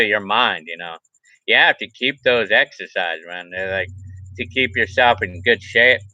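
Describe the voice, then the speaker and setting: A man in his forties chats on a live stream and drifts from one viewer comment to the next. His tone is sharp and tense, and he keeps circling back over the same thoughts. The sound is dull and lo-fi, close and dry with no room around it. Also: mid-range voice, dry recording. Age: 40s. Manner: rambling and repetitive, restarts phrases. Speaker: livestream vlogger. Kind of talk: casual live chat reading viewer comments.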